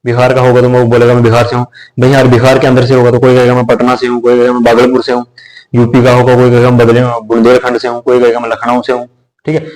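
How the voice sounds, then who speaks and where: A man's voice: medium pitch, completely flat delivery, fast, a little mellow, poor audio. Plain conversational male teacher. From India. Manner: conversational, repeats phrases, checks in with listeners. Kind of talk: explanatory lecture.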